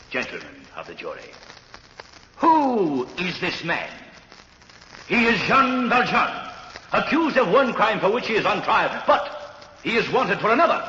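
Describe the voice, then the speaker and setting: An actor playing an accusing courtroom prosecutor in an old radio drama, oratorical and declamatory. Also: male voice, high-pitched, sharp tone, heavily acted. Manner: declamatory, rhetorical. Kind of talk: 1930s radio drama acting. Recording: echoing, muffled, hissy old radio recording.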